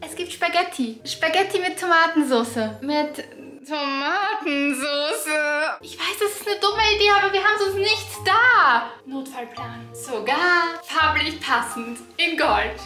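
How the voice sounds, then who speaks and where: A woman's voice: high voice, unhurried, pushed and bright, echoey room, soft background music. A young adult woman chatting casually and playfully for a vlog, with a slightly theatrical way of talking. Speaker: video host.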